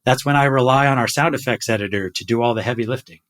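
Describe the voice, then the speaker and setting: A man's voice: mid-range voice, fast, a bit bright. Thoughtful man podcast host. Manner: thoughtful, asks long questions. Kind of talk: casual chat.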